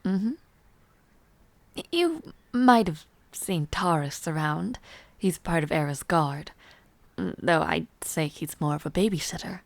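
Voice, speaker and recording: A woman playing a refined, teasing young palace noblewoman in an intimate audio roleplay, speaking in a poised, formal, softly playful way. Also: low-pitched, animated, slow, creaky, dry recording, heavily acted. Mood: calm, explaining. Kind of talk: intimate audio roleplay acting, chatting to the listener.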